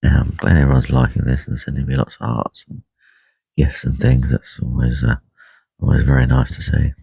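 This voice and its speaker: A man with a croaky voice talking quietly and unhurriedly on a live stream from a lake bank, full of ums and uhs. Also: very deep voice, little pitch movement, moderate pace, no echo. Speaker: carp angler livestreaming. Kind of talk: casual live stream chat. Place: lakeside fishing swim outdoors. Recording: dull lo-fi live stream audio.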